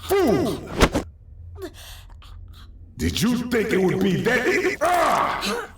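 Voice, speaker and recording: A man's voice: very high-pitched, wildly swooping pitch, very slow, a bit bright, raised voice, heavily acted. A man playing an ancient, contemptuous creature of darkness in an audio drama, speaking in grandiose threats. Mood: enraged. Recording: distant, cavernous echo with noise.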